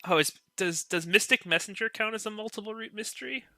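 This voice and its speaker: A man you'd hear on a hobbyist podcast, sharp-edged voice in a dry space, meandering eagerly through his point. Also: sits high, brisk, no room sound. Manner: rambling, peppered with filler "like". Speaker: podcast panelist. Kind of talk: casual discussion.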